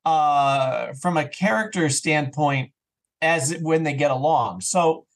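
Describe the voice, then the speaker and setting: A man's voice: fairly high pitch, takes it slowly. A man speaking as a podcast guest, explaining things casually and enthusiastically, with stammered repetitions. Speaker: podcast guest, audio drama creator. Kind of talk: casual, explanatory interview chat.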